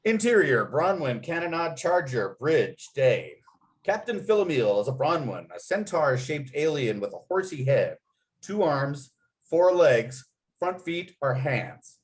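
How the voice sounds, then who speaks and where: A man's voice: a little high, very animated, measured pace, poor audio. A man acting as narrator at a table read, reading out script and stage directions.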